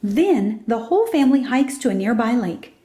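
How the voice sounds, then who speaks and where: A woman's voice: fairly high pitch, lively intonation, normal speed, warm tone. A woman reading a children's story aloud as scripted narration. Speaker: storybook reader.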